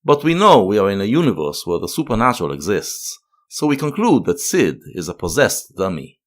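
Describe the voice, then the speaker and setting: A man delivers scripted, analytical narration. His voice sits fairly low, and his intonation swings widely as he goes. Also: very animated, a little fast. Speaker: video essay narrator.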